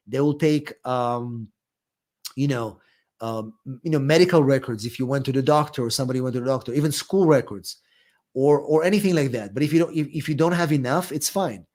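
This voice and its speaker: A man's voice: mid-range voice, darkish tone. Israeli-accented man hosting a live Q&A. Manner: conversational, many fillers and restarts. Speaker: immigration attorney, live stream host. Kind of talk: live Q&A stream.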